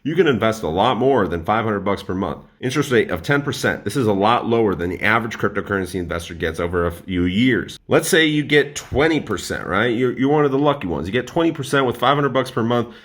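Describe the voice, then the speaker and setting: A young man in his twenties with a lowish voice, energetic and animated as he speaks straight to his viewers. He talks in a casual, upbeat explainer style, pushing the listener along. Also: fast talker, hardly any pauses. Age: 20s. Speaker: personal finance YouTuber.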